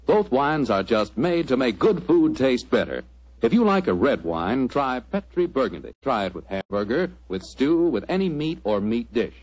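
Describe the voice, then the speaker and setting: A man's voice: mid-range voice, no room sound. A man announcing in 1940s radio style, reading sponsor copy and scripted narration with a salesmanlike delivery. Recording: muffled vintage 1940s radio recording.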